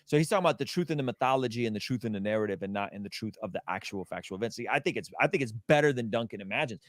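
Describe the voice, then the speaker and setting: A man's voice: lowish voice, lively intonation, racing, dry recording, clean studio sound. A man speaking as a religious apologist in impassioned conversational preaching, repeating phrases for weight.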